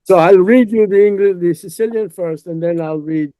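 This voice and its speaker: A man's voice: sits high, moderate pace, dark tone, clean tone, no room sound. A man whose first language is Italian, speaking earnestly as a professor in an interview. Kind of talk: conversational interview, reading a poem aloud.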